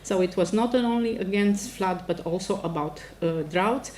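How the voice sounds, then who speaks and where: A woman's voice: medium pitch, normal speed, darkish tone, a little hiss or hum. A middle-aged woman from Romania with a Romanian accent, a native Romanian speaker, giving a formal academic address as a professor. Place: indoor lecture event before an audience.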